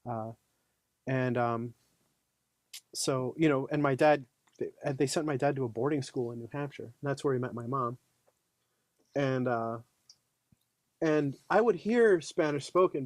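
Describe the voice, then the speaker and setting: A man's voice: mid-range voice, no echo. A man with a mid-range voice talks as a guest on a podcast, easygoing and chatty, with lots of "you know." The recording is dry and close-miked. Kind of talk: casual conversation.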